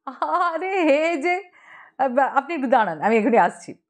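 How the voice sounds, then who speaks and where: A cheerful young joker played by a woman narrator in a humorous audiobook. He is cheeky and gossipy, talking in an animated, teasing, conversational way. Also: high-pitched, unhurried, heavily acted. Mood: amused, eager. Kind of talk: audiobook character dialogue.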